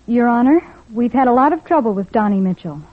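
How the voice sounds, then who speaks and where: An actress playing an earnest, anguished young welfare officer in an old-time radio drama, pleading and emotional. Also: female voice, on the high side, animated, fast talker, no echo. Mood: earnest, confessing. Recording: muffled, narrow-band vintage radio recording.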